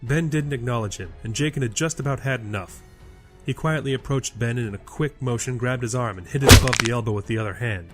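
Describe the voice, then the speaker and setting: A male storyteller reads dramatic fantasy narration. His pitch is medium, and music plays underneath. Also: quick pace, a bit bright.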